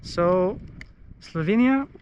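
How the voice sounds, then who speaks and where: A man's voice: sits high, animated, slow pace, dull audio, noisy background. Upbeat man. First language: Macedonian. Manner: enthusiastic, touristy commentary. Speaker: travel vlogger. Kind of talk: casual on-location vlog narration. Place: outdoors on a seaside beach promenade.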